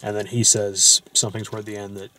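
A man with a somewhat low voice talks through a film in a relaxed, meandering way. He fills his sentences with ums and likes and circles back on himself. It sounds like a chat from the front seat of a parked car. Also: quick pace. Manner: loose and rambling, with frequent fillers and restarts. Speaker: movie discussion host. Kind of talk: casual film discussion.

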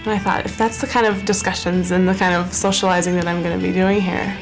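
A woman's voice: lowish voice, little pitch movement, fast talker, poor audio. A young woman, a college student, giving a testimonial in an interview. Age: young adult. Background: guitar music.

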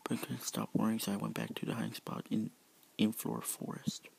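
A man's voice: on the low side, monotone, a little fast, vocal fry, slightly rough, full character voice. A man whispering the part of a remorseful, anxious female fox creature in a read-aloud story. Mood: recounting. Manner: whispered, gentle and apologetic.

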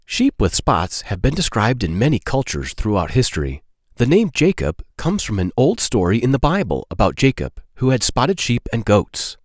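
Man reading storytime with very animated pitch. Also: no echo, studio quality. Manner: simple, clearly phrased address to children. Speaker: host of a children's read-aloud show. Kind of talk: storytime read-aloud for young children.

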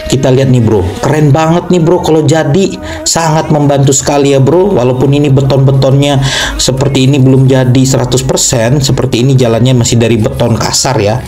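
A man's voice: medium pitch, flat delivery, brisk, warm tone, soft background music. Chatty man narrating a road trip. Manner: chatty, repeatedly addresses the viewer as bro. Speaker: travel vlogger.

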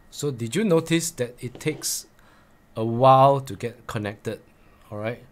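Man presenting a step-by-step tutorial. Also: mid-range voice. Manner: plain, instructional, repeats key points for emphasis. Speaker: presenter of motorcycle gear tips.

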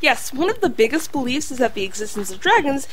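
A sensible female dog who talks played by a woman in an audio drama. She speaks formally and precisely in a highish, lively voice that swings in pitch. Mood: instructive. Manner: formal, precise, measured wording.

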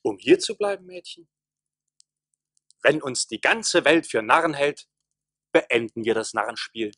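An actor playing an earnest, sly village cooper in a radio play, with declamatory delivery. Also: male voice, mid-range voice, lively intonation, a little slow, fairly bright tone, no echo, studio quality. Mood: pleading. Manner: declamatory storytelling. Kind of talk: German radio play acting.

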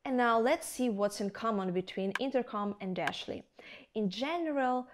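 A woman's voice: on the high side, animated, moderate pace, noisy background. A young adult woman presenting a scripted promotional product review, addressing viewers directly with rhetorical questions. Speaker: video presenter. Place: indoor studio with plain backdrop.

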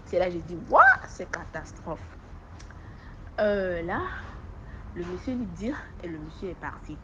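A woman from Togo with a West African accent, speaking French as an additional language and giving an informal testimony in an animated storytelling way. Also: fairly low pitch, noisy background. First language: not French. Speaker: testimony giver. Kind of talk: informal spoken personal testimony.

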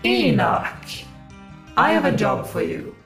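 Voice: slow pace, fairly bright tone. An actor playing an exasperated, sarcastic, indignant God in a satirical audio drama. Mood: commanding. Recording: roomy echo with music.

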